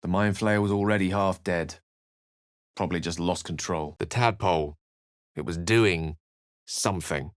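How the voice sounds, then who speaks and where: A man performs a learned wizard for a video game, in a low-pitched voice recorded close and dry. He is courteous and wry, shaping each phrase articulately with theatrical, literary polish. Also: normal speed, long pauses, no room sound, natural voice. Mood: grave storytelling. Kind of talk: video game voice acting, dialogue and narration.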